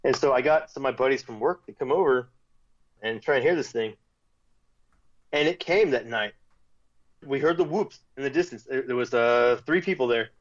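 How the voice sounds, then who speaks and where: A man guest telling a personal story in casual talk, animated when quoting others, with restarts. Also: medium pitch, moderate pace, long pauses, lo-fi sound. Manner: animated retelling with quoted voices, restarts.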